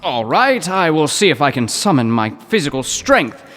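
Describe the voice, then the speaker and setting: An actor playing a timid, pedantic poetry professor in an old-time radio comedy drama, prissy and given to flowery wording. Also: male voice, fairly high pitch, big pitch swings, normal speed, noisy background. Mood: nervous, puzzled.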